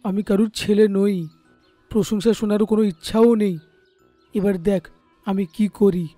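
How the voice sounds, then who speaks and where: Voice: mid-range voice, fairly even intonation, measured pace, dark tone, no room sound, soft background music, close to an everyday voice. An actor voicing a hot-headed young male parrot, petulant and emphatic, in a cartoon. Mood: defiant.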